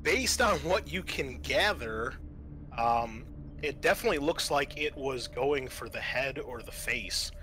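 A man acting as game master, narrating a tabletop game in a halting, conversational way. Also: medium pitch, animated, sharp tone, slight background noise.

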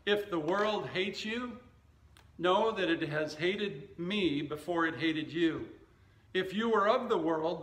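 A male pastor in his fifties delivers a sermon in an echoey room. His voice sits a little high for a man, and he moves very slowly, setting out each short phrase with deliberate care. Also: fairly bright tone. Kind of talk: sermon with scripture reading and anecdotes. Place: indoor church stage at a wooden lectern.

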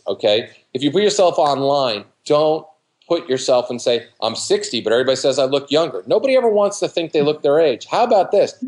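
A man in his fifties giving casual, colloquial advice on a video call, emphatic and repetitive, acting out quoted remarks. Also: fairly high pitch. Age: 50s. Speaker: dating coach, interview guest. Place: living room at home, indoors. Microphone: computer mic on a video call.

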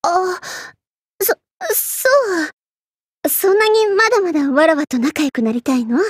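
Young queen, very high girlish pitch, imperious, vain, easily flustered tsundere, anime game, dry close sound. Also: lively intonation, measured pace, no room sound. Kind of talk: Japanese anime game character voice acting.

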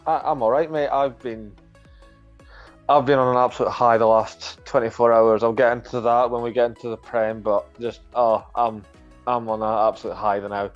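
A young man co-hosting a casual podcast over a video call, steady and moderating, keeping the show moving. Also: medium pitch, normal speed, lo-fi sound, soft background music. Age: young adult. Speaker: podcast co-host. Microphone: laptop webcam on video call.

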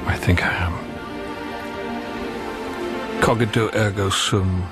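Low-voiced, brooding priest. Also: male voice, steady tone, loud music behind the voice. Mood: brooding, anguished. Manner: brooding, halting, intense, repeating phrases. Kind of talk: radio drama acting, interior monologue and dialogue.

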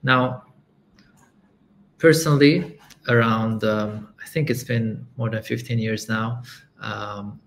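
A man's voice: lowish voice, slow, gravelly, long pauses. A man in his thirties from Lebanon, giving an earnest talk with slides over a video call from a room at home. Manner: calm, earnest storytelling with frequent ums. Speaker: guest speaker. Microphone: video call webcam mic.

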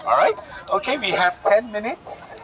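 Higher-voiced male tour guide outdoors. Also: animated, moderate pace. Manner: explanatory, often checks in with "yeah?" and "all right?". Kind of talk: guided walking tour talk to a group. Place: outdoors in a public garden at night. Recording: noisy outdoor phone recording.

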